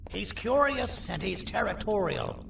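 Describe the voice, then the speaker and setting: Echoey distant sound, vain scheming creature surgeon, heavily gravelly, wry, self-important, video game villainy. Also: male voice, sits high, big pitch swings, measured pace, full character voice. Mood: proud, explaining. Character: four-armed creature surgeon, vain, sardonic, bossy schemer. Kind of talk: video game character dialogue. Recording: roomy echo, distant, faint noise.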